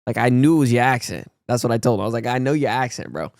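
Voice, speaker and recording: A man's voice: medium pitch, racing, darkish tone, dry recording, studio quality. A young man from Brazil chatting casually and rambling with slang while reacting to a video. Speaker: reaction channel host.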